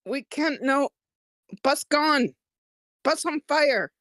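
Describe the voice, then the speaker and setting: A woman's voice: high-pitched, animated, slow, fairly bright tone, no echo, clean studio sound, full character voice. A woman playing a desperate nurse pleading for help in clipped broken English at a screenplay table read.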